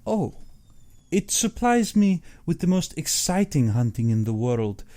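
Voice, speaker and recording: A man's voice: fairly high pitch, big pitch swings, measured pace, warm tone, voice pushed up. A man voicing an urbane, boastful, cold-blooded older general and hunter, pompous and precise in an audiobook. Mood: smug, relishing. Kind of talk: audiobook character acting.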